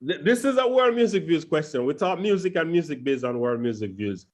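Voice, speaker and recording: A man's voice: a little high, lively intonation. Upbeat male interview host. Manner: enthusiastic, laughs easily, quick bursts of talk. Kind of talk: casual interview chat.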